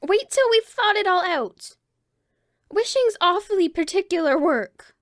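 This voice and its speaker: Very high-voiced earnest little girl. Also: lively intonation. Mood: pleading. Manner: wide-eyed, drawn-out exclaiming.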